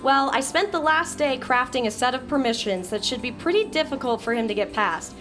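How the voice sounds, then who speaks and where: A woman playing an impatient, sharp-tongued young coder who talks bluntly and sarcastically. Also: high voice, brisk, over music, natural voice. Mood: irritated.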